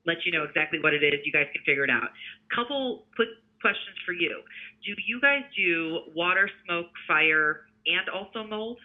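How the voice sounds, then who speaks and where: A male sales representative speaks over a narrow, tinny phone connection. His voice sits a little higher than most men's. He talks in a conversational pitch style, explaining as he goes. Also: expressive, normal speed. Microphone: telephone.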